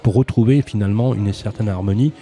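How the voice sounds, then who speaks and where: Low, mellow Frenchman hosting radio. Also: fast talker. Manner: effusive, wordy, rephrases and repeats himself. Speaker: radio host and interviewer. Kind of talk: conversational live radio interview.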